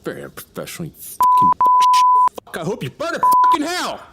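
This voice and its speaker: A prickly male novelist in an audio drama, sarcastic and easily riled. His pitch leaps about dramatically, over clearly audible background noise. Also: wildly swooping pitch, normal speed, exaggerated voice. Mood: enraged. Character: prickly, defensive, foul-mouthed male novelist under pressure.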